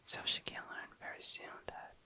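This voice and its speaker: A woman's voice: normal speed, pushed and bright. A woman whispering breathily and casually in ASMR style, informal and self-deprecating, close to the microphone. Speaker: ASMR video creator. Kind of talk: whispered ASMR, casual rambling commentary while working.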